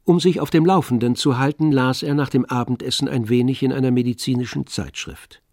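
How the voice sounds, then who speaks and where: A man narrating a literary radio play in a measured, composed storytelling manner. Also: medium pitch, darkish tone, dry recording, studio quality.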